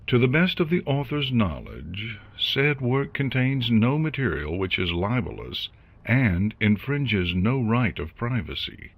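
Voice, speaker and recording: Man acting unicorn corporate lawyer, smug, measured formal speech, very swooping intonation, slightly muffled fan audio drama. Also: medium pitch, big pitch swings, breathy edge, dry recording, full character voice. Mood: coolly accusing.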